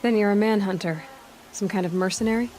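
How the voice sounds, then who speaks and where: Woman acting a young game heroine, resolute and wary, mid pitched, very direct, clear noise behind her. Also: quick pace, darkish tone, close to an everyday voice. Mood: guarded, determined. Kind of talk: video game dialogue acting.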